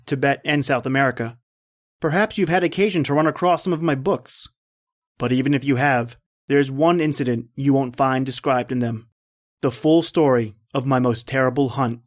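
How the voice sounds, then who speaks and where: A man's voice: medium pitch, close to an everyday voice. A man acting a confident, dismissive big-game hunter who narrates his ordeal in an old-time radio drama. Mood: self-assured.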